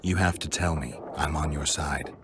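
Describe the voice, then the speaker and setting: An actor playing a calm, gruff monster-hunting mercenary in a video game, speaking in a terse, restrained, dry way. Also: male voice, a bass voice, normal speed, very noisy. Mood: probing. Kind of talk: video game cutscene acting.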